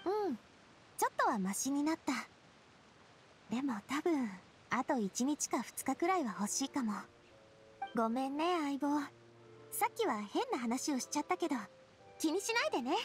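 A woman's voice: high-pitched, big pitch swings, moderate pace, pauses often. A woman voicing a sincere, vulnerable young woman boss in a Japanese anime game, with music behind her. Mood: downcast, self-doubting.

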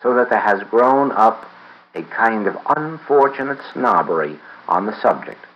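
A man reading a spiritual book aloud in a measured, deliberate way, pausing often between phrases. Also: fairly low pitch, lively intonation, lo-fi sound, slight background noise. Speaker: reader of a book. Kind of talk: read-aloud audiobook of a spiritual text.

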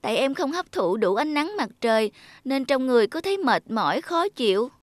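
A woman's voice: high voice, sing-song, brisk, no echo, clean studio sound. A woman voicing a whiny, manipulative young female flower in a children's cartoon, plaintive and complaining. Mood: weary complaining. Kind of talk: children's moral cartoon dubbing. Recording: loud music under the voice.

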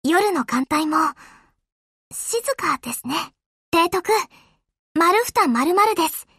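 An actress voicing a gentle, courteous young warship girl who serves her admiral in an anime game, with a deferential, polite manner. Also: extremely high pitch, lively intonation, slow pace, no echo, clean studio sound, heavily acted. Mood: gentle, calm. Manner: soft-spoken, courteous, deferential. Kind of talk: Japanese anime game voice acting.

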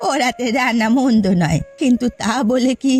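A woman's voice: a little high, normal speed, a little mellow, soft background music, close to an everyday voice. Woman acting a stern, haughty middle-aged mother-in-law. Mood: grudging. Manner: scolding, haughty, blunt. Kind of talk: cartoon story character acting.

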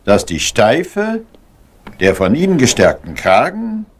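An actor playing a courteous, meticulous private detective in a German crime radio drama, speaking formally. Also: male voice, medium pitch, huge pitch swings, takes it slowly, husky, full character voice. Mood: fussy, exacting. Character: fussy, courteous middle-aged male private detective.

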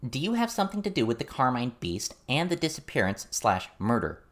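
A man's voice: mid-range voice, very animated, pitched above the performer's own voice, lightly acted. A man voicing an inquisitive young girl in a storybook, direct and probing in her questions. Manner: questioning, deliberate.

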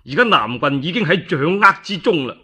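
A man voicing a proud, boastful young commander in Cantonese, with a forceful, declamatory manner. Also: on the high side, lively intonation, fairly quick, breathy edge, heavily acted. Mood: boastful, confident.

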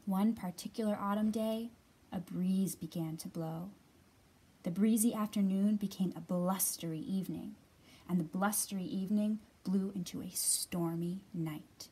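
A woman's voice: medium pitch, fairly even intonation, a little slow, warm tone, husky, poor audio. A woman in her thirties hosting a children's storytime, reading and talking expressively to young listeners as a librarian. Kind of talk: picture book read-aloud and storytime talk for young children.

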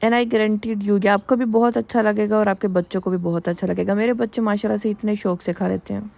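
Brief: fast, friendly woman cooking host, mid pitch, explains step by step for her viewers. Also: steady tone, gravelly, darkish tone. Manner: chatty, step-by-step instructions with frequent tag questions. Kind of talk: voice-over cooking tutorial.

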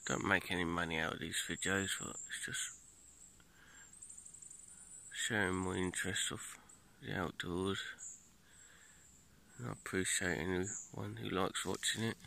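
A British man chatting casually to camera as an outdoor camping vlogger, with a low-key, laid-back manner. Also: steady tone, a little slow, creaky, long pauses, sharp tone. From United Kingdom. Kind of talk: casual vlog chat. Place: outdoors in woodland. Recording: noisy handheld outdoor recording.

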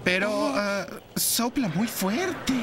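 An actor voicing a timid, excitable young male feathered dinosaur in a dubbed cartoon, hesitant and jittery. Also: mid-range voice, slow, noisy background. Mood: nervous, hesitant. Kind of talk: animated cartoon dubbing.

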